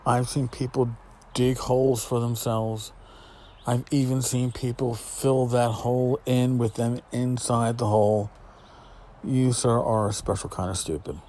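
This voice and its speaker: A middle-aged man hosting a casual solo podcast, talking in a blunt, sarcastic, sweary way with emphatic repetition and pauses for effect. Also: mid-range voice, flat delivery, dark tone. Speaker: podcast host. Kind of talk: casual spoken podcast monologue.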